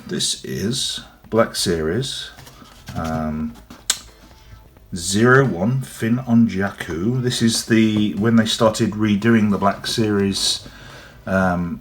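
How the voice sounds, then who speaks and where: Young man, low gruff cold-roughened voice, loose rambling toy-review delivery. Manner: rambling, chatty, frequent ums. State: has a cold, voice deep and gruff. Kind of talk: casual unboxing review. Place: indoors at a table, off camera.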